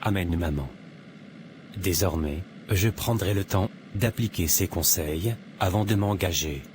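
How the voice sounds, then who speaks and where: Man acting a low-voiced earnest young son. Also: unhurried, background noise. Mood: grateful, sincere.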